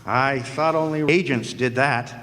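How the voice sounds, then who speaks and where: A man's voice: mid-range voice, measured pace, fairly bright tone. A man narrating as a wry, sardonic hard-boiled detective in the style of 1940s noir radio drama. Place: historic club hall, live audience performance. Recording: long echoing hall reverb.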